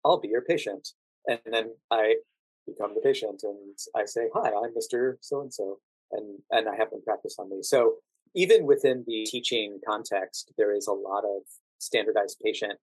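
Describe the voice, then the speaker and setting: An American man answering interview questions as a guest, explaining things in a measured, thoughtful conversational way. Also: fairly low pitch, slightly rough, no echo, rough recording. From United States. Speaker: podcast guest, actor working as a standardized patient.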